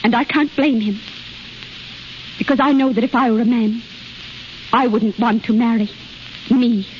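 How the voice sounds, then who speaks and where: A woman's voice: a little high, fairly even intonation, measured pace, lightly acted. A woman acting a proud, anguished young daughter, intense and earnest in a 1930s radio drama. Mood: anguished, impassioned. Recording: muffled, noisy 1930s radio recording.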